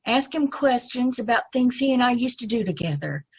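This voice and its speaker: A woman's voice: a little high, expressive, normal speed, husky. A woman playing an earnest, anxious, polite young woman in an amateur old-time radio play. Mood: earnest, hopeful.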